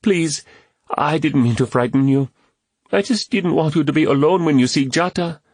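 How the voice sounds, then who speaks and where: A man's voice: a little high, moderate pace, darkish tone, no room sound, voice pushed up, close to an everyday voice. A man voicing a small, earnest and fearless boy who speaks with careful, formal politeness, recorded dry and close. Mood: pleading.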